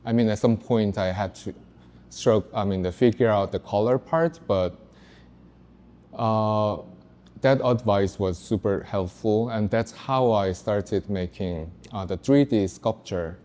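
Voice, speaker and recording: A man in his thirties from South Korea, a native Korean speaker, telling his story as an artist in a halting, careful way with pauses. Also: on the low side, mellow. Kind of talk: panel talk.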